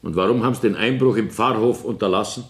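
Man playing stern court judge, lowish voice, formal, authoritative, echoing room, radio drama. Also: fast talker, natural voice. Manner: formal, interrogating. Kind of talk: German radio play courtroom acting. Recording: echoey 1970s radio drama studio.

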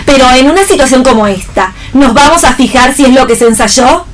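A woman's voice: high-pitched, expressive, a little fast, slight background noise. A woman playing a defiant, idealistic rich young woman in a staged radio play reading. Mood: wry.

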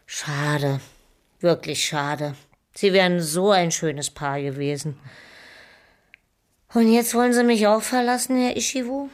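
A woman's voice: on the low side, a little slow, long pauses, lightly acted. Actress playing a plaintive, gossipy middle-aged landlady. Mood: wistful. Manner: colloquial, sighing, complaining. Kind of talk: German radio play dialogue.

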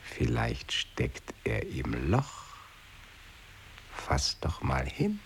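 A gravelly, stubborn one-legged man. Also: very deep voice, wildly swooping pitch, very slow, a little hiss or hum, exaggerated voice. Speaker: actor in a radio play. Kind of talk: experimental radio play acting.

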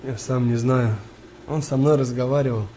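An actor playing an anguished, haunted young wartime soldier in a radio play, speaking in halting, repetitive phrases. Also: male voice, medium pitch, steady tone, dark tone, close to an everyday voice. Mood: quiet, shaken. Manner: halting, repetitive, emotional delivery. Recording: noisy wartime ambience behind voice.

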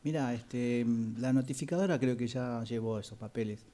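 A woman's voice: very deep voice, moderate pace, warm tone. A woman with a Rioplatense Argentine accent playing a chatty, gossipy law-office colleague in a radio drama, rambling and repetitive. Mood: gossipy, helpful. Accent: Rioplatense Argentine Spanish. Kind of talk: improvised radio drama acting.

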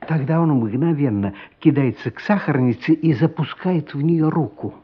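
Guy narrating a radio drama, expressive, lots of pitch movement, highish voice, muffled recording. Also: moderate pace, a little hiss or hum. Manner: dramatic, storytelling.

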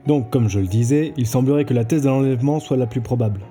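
Very dark-voiced, earnest investigator man acting. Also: mid-range voice, fast, natural voice. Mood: intent. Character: earnest, secretive male investigator, dogged and self-assured. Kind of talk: audio drama acting. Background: music.

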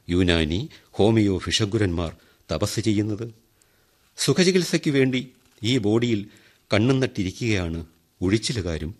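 An actor playing a wry man who talks straight to the audience in a radio drama monologue. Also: lowish voice. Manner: wry, chatty and teasing with the listeners. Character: ironic middle-aged man who donates a kidney. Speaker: monologue narrator in a radio play. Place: radio studio.

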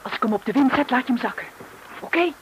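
Practical skipper over clear background noise. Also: male voice, very high voice, expressive, quick pace, a bit bright. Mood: busy, calling out. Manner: matter-of-fact, instructing. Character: practical, obliging sailboat skipper who knows the coast. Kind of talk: radio play acting. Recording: noisy old radio play recording.

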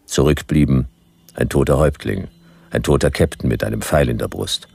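Radio play lead: laconic noir detective, extremely low gravelly voice, dry deadpan narration. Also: male voice, moderate pace, no room sound, exaggerated voice. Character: hardboiled laconic male detective narrating his Western ordeal.

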